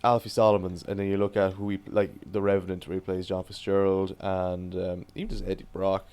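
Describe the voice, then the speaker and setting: A man's voice: sits low, flat delivery, brisk, darkish tone, clean tone. A man co-hosting a podcast, chatting in an easygoing way about films and actors, swearing offhandedly and trailing off mid-thought. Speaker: podcast co-host. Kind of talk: casual film chat.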